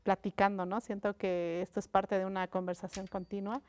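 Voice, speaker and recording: A woman whose first language is Mixe, a linguist and writer from Mexico, speaking as a guest in a thoughtful, unhurried interview on a studio set, with frequent fillers and restarts. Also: mid-range voice, level tone, clean tone. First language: Mixe (Ayuujk).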